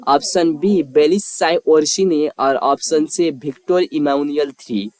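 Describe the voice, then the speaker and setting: A quiz narrator with a medium-pitched voice reads from a script. The delivery is formal and measured, like announcing questions and choices. The sound is dry, with no room around it. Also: a little mellow, no echo.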